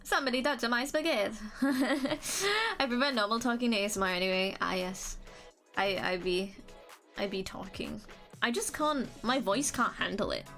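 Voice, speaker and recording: A woman's voice: fairly high pitch, lively intonation, normal speed, a bit bright, faint music behind. A young woman, chatting casually on a live stream, rambling, restarting sentences and laughing now and then. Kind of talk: casual chat reading comments.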